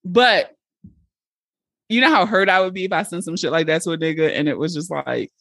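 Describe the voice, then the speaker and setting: A woman in her late thirties hosting a podcast, frank and expressive in casual chat. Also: fairly low pitch, gravelly, no echo, studio quality. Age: 30s. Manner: expressive, frank and quick to laugh. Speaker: podcast host.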